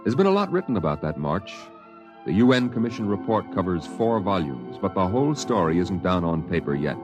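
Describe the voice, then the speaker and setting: An actor playing a reflective man recalling his boyhood, narrating an old-time radio drama in a grave, measured, dramatic way. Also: sits low, very animated, over music.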